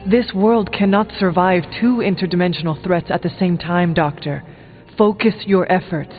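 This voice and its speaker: An actor playing a stoic, solemn warrior hero in a video game, speaking in terse, formal, earnest phrases. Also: male voice, sits high, moderate pace, big-room sound, narrow, tinny audio, soft background music, close to an everyday voice. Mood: grave, stern. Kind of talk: video game character dialogue.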